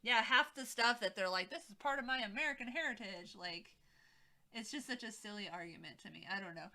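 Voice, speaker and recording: A woman's voice: fairly high pitch, brisk, bright, forceful tone. A woman streamer chatting casually while she arranges craft beads, laughing often and trailing off with "I don't know.". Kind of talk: casual chat.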